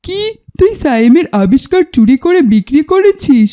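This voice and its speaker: Woman playing high-voiced indignant cartoon youngster. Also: very animated, moderate pace, mellow. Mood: stern, indignant. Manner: scolding, commanding. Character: indignant young accuser confronting a thief, scolding and bossy. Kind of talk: cartoon voice acting.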